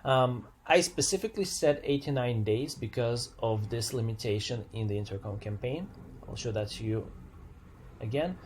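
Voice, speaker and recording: A man presents an unscripted software walkthrough, explaining step by step in a slightly hesitant way and repeating phrases as he goes. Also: mid-range voice, expressive, moderate pace, a little hiss or hum.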